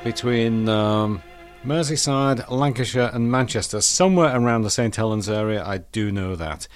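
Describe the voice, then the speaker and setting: Chatty older radio DJ. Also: male voice, on the low side, moderate pace. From northwest England. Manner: chatty, rambling, reminiscing. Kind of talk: radio show presenting. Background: music under the talk.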